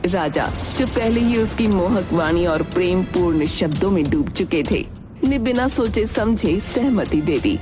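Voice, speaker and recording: A woman's voice: lowish voice, lively intonation, measured pace, over music. A woman reading scripted, dramatic story narration as a storyteller.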